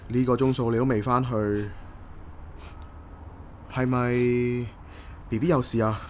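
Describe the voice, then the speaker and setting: Male actor as kind calm stranger, mid-pitched, gentle, Hong Kong Cantonese, radio drama, light ambient noise. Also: slow pace, lightly acted. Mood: concerned, comforting. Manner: gentle, reassuring. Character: kind, calm, reassuring male bus acquaintance.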